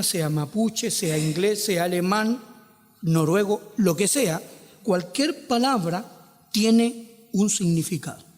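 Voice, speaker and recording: Chilean pastor guy preaching, fairly high voice, lots of questions, big echoey hall. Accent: Chilean Spanish. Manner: rhetorical questions, emphatic rises and drawn-out quotes. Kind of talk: sermon.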